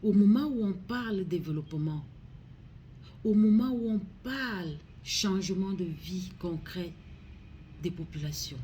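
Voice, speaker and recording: Cameroonian woman doing a solemn public appeal, really slow and grave, dark mellow voice, some background noise. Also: mid-range voice, lively intonation. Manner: grave, pleading, deliberate phrasing. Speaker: regional councillor making a public appeal.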